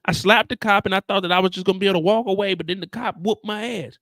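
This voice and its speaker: A defiant young woman, put on by a man in a raised falsetto, blunt and done talking. The voice sits high and bright, close to the mic. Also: fairly even intonation, fast talker, no room sound. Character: angry young woman set on revenge.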